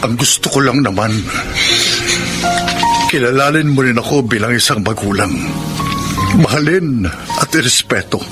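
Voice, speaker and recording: A man's voice: mid-range voice, moderate pace, pauses often, lightly acted. A man playing an insistent, emotional ex-convict father in a Filipino radio melodrama. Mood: earnest, pleading. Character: intense ex-convict father longing for his daughter. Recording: loud music under the voice.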